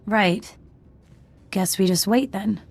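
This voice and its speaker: Scrappy woman outlaw, mid-pitched voice. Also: slow, breathy edge. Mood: wary, confiding. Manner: colloquial, wry, clipped phrasing. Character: scrappy, street-smart woman outlaw, wary and defiant. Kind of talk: video game voice acting. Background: music.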